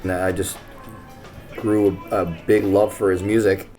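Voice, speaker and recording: A man from Canada with a very low voice tells his stories loudly and at ease, with a frank, joking edge. Soft music plays faintly behind him. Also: a little slow. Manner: loud, relaxed storyteller with a frank sense of humor. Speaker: tribute singer being interviewed. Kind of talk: casual interview.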